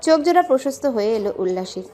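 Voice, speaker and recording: Fast-paced woman narrating a story. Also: a little high, lively intonation, dark tone. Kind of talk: scripted dramatic story narration.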